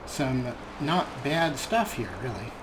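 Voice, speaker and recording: A man's voice: mid-range voice, very animated, slow, background noise. A man giving casual, chatty gameplay commentary as a let's play host, with a wryly understated manner.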